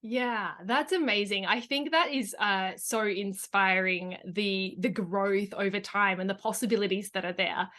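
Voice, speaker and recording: A woman's voice: mid-range voice, fairly even intonation, measured pace, hardly any pauses, pushed and bright. A woman hosting an interview, enthusiastic and chatty, with many casual likes. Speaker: host. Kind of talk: interview host.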